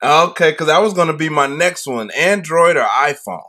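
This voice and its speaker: A man's voice: a little high, animated, normal speed, sharp tone. A man hosting a podcast interview, upbeat and hyped, often repeating his phrases. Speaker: podcast host. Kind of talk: casual interview chat.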